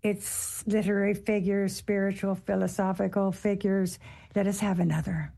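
Warm, gravelly woman interviewer. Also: mid-range voice, flat delivery, moderate pace. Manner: gentle, appreciative. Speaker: interviewer, host.

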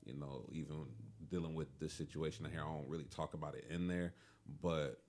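A young man with a deep voice, talking as a musician guest in a calm, laid-back way. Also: a little fast. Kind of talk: casual interview chat.

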